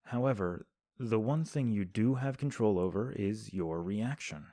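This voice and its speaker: A man voicing a composed young prince in an audio drama, speaking in a low, mellow tone with calm, measured formality. The sound is dry and close, with no room around it. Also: no echo. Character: composed young male prince giving counsel. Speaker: voice actor. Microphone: home recording.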